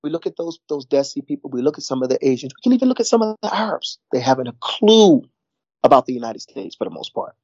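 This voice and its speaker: Husky-voiced middle-aged New man. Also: mid-range voice, big pitch swings, quick pace, darkish tone, dry recording, lo-fi sound. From New York. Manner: rambling, repeats words, frequent "you know". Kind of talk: casual conversation.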